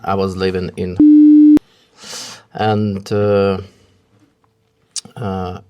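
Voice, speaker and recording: A man with a Ukrainian accent and a fairly low voice speaks very slowly as an interview guest. He is hesitant, often pausing to search for the next word. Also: little pitch movement, a little mellow, clean tone. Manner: halting, hesitant, searching for English words. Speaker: interview guest, rescue service deputy head and instructor. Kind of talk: unscripted interview answers.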